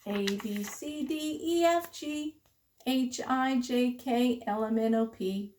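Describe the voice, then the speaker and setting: Very slow, high-voiced woman teaching children. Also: a little mellow. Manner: simple, deliberate phrasing with sing-song recitation and questions to children. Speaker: preschool teacher. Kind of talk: children's alphabet read-aloud lesson.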